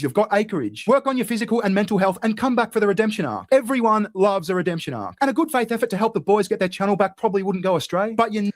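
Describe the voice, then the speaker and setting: A man's voice: high voice, no room sound, clean studio sound. A man in a played video clip earnestly advising another YouTuber and speaking about his own past drinking. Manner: earnest, lecturing. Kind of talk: direct-to-camera advice.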